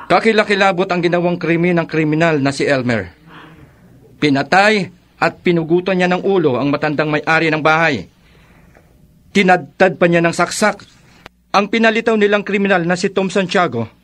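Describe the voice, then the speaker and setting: Solemn, higher-voiced police sergeant. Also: male voice, a bit bright. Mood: grave. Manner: measured, solemn, declarative. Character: grave, matter-of-fact male police sergeant reporting a crime. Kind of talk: radio drama acting.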